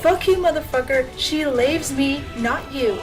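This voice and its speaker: A woman voicing two brash teenage boys who swear and shout at each other. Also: high voice, a little slow, recorded from a distance, music in the background, voice pushed up. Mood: angry. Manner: profane, shouting.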